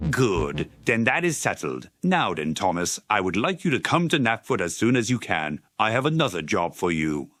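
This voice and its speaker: A man's voice: lowish voice, very animated, moderate pace, hardly any pauses, fairly bright tone, no echo, studio quality, soft background music. A man playing a stern, formal, commanding railway controller in a cartoon dub. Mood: businesslike. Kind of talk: comic dub character acting.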